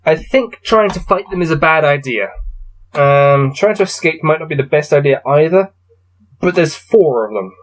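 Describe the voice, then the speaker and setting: Slightly high-voiced man, low-key gamebook reader. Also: animated, moderate pace. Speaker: solo gamebook player and reader. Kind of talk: reading aloud and commenting on a gamebook.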